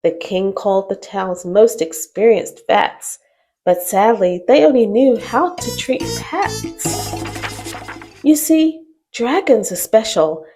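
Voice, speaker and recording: A woman hosting a children's storytime, reading a rhyming picture book aloud gently and expressively. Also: mid-range voice, animated, takes it slowly, faint music behind. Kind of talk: rhyming read-aloud for kids.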